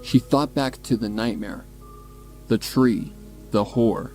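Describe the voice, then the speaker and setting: A man's voice: mid-range voice, fairly even intonation, slow, dark tone. A man reading scripted horror fan fiction as the narrator. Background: music.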